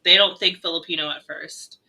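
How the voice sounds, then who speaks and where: A young woman with a strikingly bright, sharp tone talks loosely on a podcast. She tells stories freely, swears casually and acts out what other people said. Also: lowish voice, steady tone, fairly quick. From California. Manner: storytelling, swears casually, mimics others in quotes. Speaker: podcast co-host. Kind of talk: casual chat.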